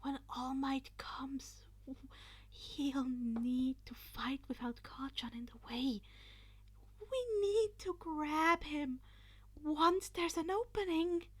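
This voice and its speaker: A woman's voice: high voice, fairly even intonation, slow, voice pushed up. A woman voicing an anxious teenage boy hero in a fan fiction reading, urgent and rambling as the character hatches reckless plans. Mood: hushed, nervous. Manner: urgent, rambling, breathless whispering.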